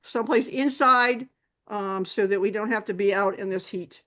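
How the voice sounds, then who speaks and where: A woman's voice: medium pitch, normal speed, fairly bright tone. A woman hosting a camera club meeting, giving announcements in a rambling way with many fillers and restarts.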